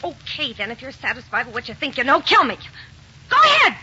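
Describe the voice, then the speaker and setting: An American actress playing a frightened gangster's wife in a 1940s radio crime drama, pleading and insistent in slangy speech. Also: female voice, high voice, wildly swooping pitch, brisk, sharp tone, heavily acted. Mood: desperate pleading. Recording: old muffled radio recording, faint noise.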